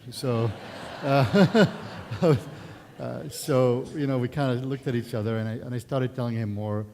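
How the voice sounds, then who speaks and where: A middle-aged man from Palestine with a Palestinian Arabic accent, sharing his personal story in a relaxed, conversational way with many fillers and restarts during a church service. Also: mid-range voice, a little slow, creaky, a little hiss or hum. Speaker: guest speaker. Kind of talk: personal testimony at a worship service. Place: church sanctuary. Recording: long church reverb.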